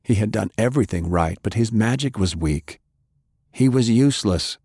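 Male narrator, low-pitched, grave dramatic storytelling, very dry studio sound. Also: expressive, moderate pace, warm tone, husky, no echo. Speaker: audiobook narrator. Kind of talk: dramatic scripted fiction narration.

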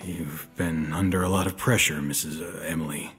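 An actor playing a world-weary, tough private detective in a noir audio drama, laconic and hard-boiled. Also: male voice, rumbling low, steady tone, normal speed, fairly bright tone. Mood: guarded. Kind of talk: noir radio-drama narration and dialogue. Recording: studio production with music underneath. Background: music.

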